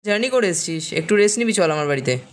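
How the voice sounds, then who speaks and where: Man acting a fast-talking chummy young friend. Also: sits high, lively intonation, loud music behind the voice. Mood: friendly. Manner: colloquial, coaxing. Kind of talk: dramatized story dialogue.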